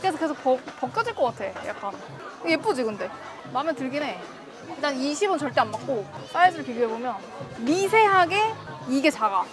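A woman's voice: sits high, sing-song, fairly quick. A woman chatting casually with her partner in an everyday conversational way. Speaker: vlogger's wife. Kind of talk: casual couple chat. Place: outlet shoe store. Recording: background music and store crowd noise. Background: music, shoppers' chatter.

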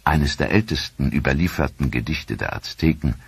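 A man narrating a history documentary in a grave, measured voice-over. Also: extremely low pitch, creaky, slightly rough, no echo.

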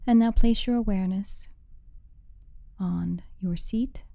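A woman leading a guided meditation as the guide, giving soothing, measured instructions with phrases that soften into breathiness. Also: medium pitch, creaky, no echo.

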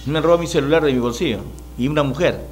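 Fast, emphatic Peruvian host. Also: male voice, fairly high pitch, faint music behind. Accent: Peruvian Spanish. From Peru. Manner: emphatic, interjecting. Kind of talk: interview chat. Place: small TV studio. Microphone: mic on desk stand.

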